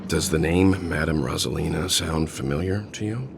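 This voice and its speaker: An actor voicing a calm, courteous male traveler in a video game, asking questions in a measured, reserved way. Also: rumbling low, creaky, noisy background.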